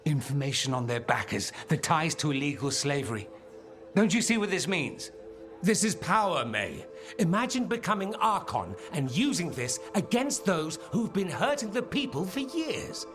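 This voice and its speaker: An actor playing a suave, ambitious mage and rebel schemer in a fantasy video game, speaking with wry, theatrical eloquence. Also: male voice, on the high side, very animated, moderate pace, music in the background. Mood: wry, pleased, then eager. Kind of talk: dramatic video game dialogue acting.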